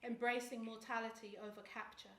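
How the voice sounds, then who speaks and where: A woman performing defiant spoken word poetry in a measured, declamatory delivery. Her pitch stays flat and even. She sounds set back from the mic in a roomy space. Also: recorded from a distance.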